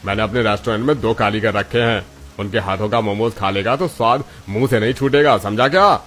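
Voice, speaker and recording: A man's voice: on the low side, a little fast, fairly bright tone. A man plays a cartoon restaurant owner full of arrogance and envy. He taunts and boasts with a sneer, forever scheming against his rival. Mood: gloating. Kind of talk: Hindi cartoon story dialogue.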